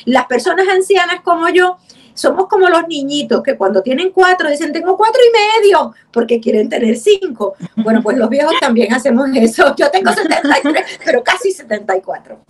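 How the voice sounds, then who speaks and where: A woman's voice: high-pitched, expressive, fairly quick, slight background noise. A woman in her seventies with a Puerto Rican accent, a guest recalling family history at an easy pace over a video call. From Puerto Rico. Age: 70s. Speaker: guest, actress. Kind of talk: interview.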